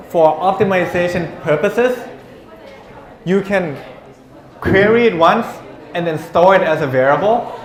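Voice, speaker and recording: A male university lecturer teaching a class, teasing students and calling on them by name. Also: high-pitched. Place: lecture room. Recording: echoey lecture room.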